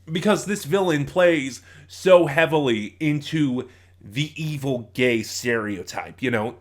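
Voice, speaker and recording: Male reviewer, mid-pitched voice, informal and emphatic, halting exasperated delivery. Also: fairly bright tone. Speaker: audio drama reviewer.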